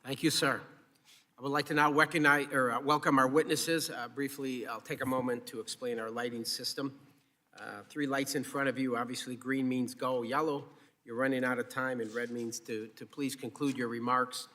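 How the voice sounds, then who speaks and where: Male committee chair, American accent, mid-pitched, formal procedural delivery. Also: flat delivery, moderate pace, bright, forceful tone. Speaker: subcommittee chairman. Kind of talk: formal procedural hearing remarks.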